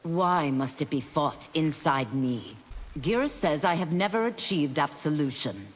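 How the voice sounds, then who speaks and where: A woman's voice: deep voice, expressive, unhurried, long reverb tail. A woman voicing an earnest, resolute demon warrior seeking redemption in a video game, with formal speech. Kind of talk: video game fight-intro dialogue.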